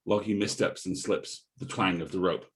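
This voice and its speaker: A man's voice: deep voice, moderate pace. A man reading stage directions as scripted narration at an audio drama table read.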